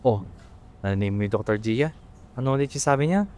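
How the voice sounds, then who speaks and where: A man's voice: mid-range voice, lively intonation, fairly quick, a little mellow, natural voice. A young man with a Filipino accent playing a weary, resentful eldest son who supports his family, earnest and emotional, in an amateur radio drama. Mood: caring. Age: young adult.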